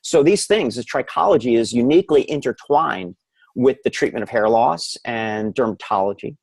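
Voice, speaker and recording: A man's voice: mid-range voice, moderate pace, gravelly, husky, no room sound. Dry-sounding male doctor explaining things. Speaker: hair restoration physician, expert guest. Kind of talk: conversational expert explanation in an interview.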